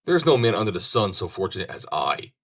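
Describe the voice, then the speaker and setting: A man's voice: deep voice, sing-song, fast talker, a bit bright, full character voice. A man voicing a naive, cheerful and trusting young man in a storybook tale, earnest and given to exclaiming. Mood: delighted.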